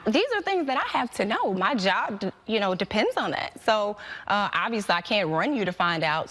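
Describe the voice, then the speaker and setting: A woman's voice: mid-range voice, a bit bright. Earnest woman, talk show guest. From American. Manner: earnest, talks with slight laughs, halting restarts. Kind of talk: casual talk show chat. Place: television studio.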